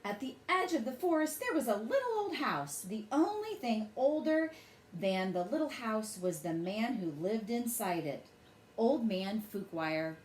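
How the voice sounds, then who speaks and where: A woman reads a bedtime story aloud to children with expressive, dramatic phrasing. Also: a little high, a little slow. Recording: roomy echo, faint background noise.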